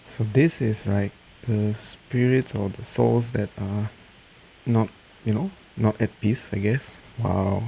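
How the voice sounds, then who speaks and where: Creaky, mellow male gamer. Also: on the low side, steady tone, slow pace, slight background noise, rough recording. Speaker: gamer, playthrough host. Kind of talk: casual game playthrough commentary.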